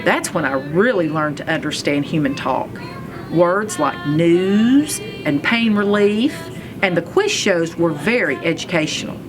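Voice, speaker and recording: A woman reads a children's story aloud at an unhurried pace, with lively swings in pitch. She leans on key words expressively, telling it in the first person. Music plays clearly behind her. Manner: expressive, deliberate delivery with emphasized words. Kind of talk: children's chapter book read-aloud, first-person narration.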